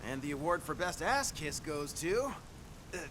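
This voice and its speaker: A cheeky young male soldier in a video game. His voice is a bit high and very animated but unhurried, sarcastic and joking over a noisy background. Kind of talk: video game cutscene dialogue. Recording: game audio over rumbling background noise.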